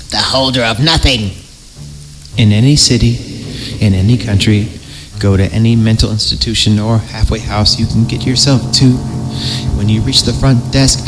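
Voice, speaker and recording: A man's voice: mid-range voice, poor audio. A man hosting a podcast, reading horror stories in a theatrical way and breaking off for jokey asides. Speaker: podcast host. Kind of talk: dramatic horror story reading with joking asides.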